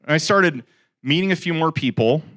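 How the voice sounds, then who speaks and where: A man's voice: a little high, normal speed, fairly bright tone. A man in his forties giving an informal talk to a live audience in a room, chatty and joking, teasing listeners in asides. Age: 40s. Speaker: guest speaker at an investor meetup.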